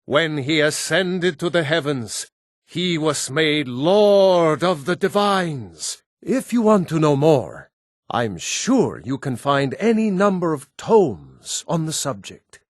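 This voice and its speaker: An actor playing a proud, stern Nord man in a fantasy video game, with a measured, weighty delivery. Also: fairly high pitch, very animated, no echo, studio quality. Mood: reverent, lecturing.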